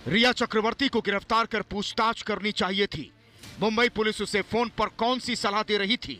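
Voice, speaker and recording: A man's voice: high-pitched, steady tone, normal speed, bright, forceful tone. A man reading a scripted news report in an emphatic, accusatory way. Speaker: news narrator. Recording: news voice-over with music bed. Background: music.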